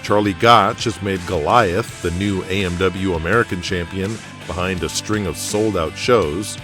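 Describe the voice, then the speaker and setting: A man's voice: low-pitched, unhurried, music in the background. A man narrating a scripted audio drama in a dramatic storytelling style.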